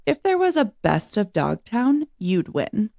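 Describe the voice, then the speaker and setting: A woman voicing a scrappy, wry shelter dog in a storybook, speaking in a placating, grudgingly flattering manner. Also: medium pitch, a little slow, gravelly, no room sound, studio quality, voice pushed up.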